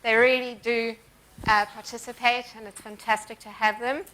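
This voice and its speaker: Conference organiser, female, higher register, warm hosting with filler pauses over faint background noise. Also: flat delivery, rough recording. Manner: friendly, with frequent ums and pauses. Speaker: event organiser introducing sponsors and keynote. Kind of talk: conference welcome address. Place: conference hall.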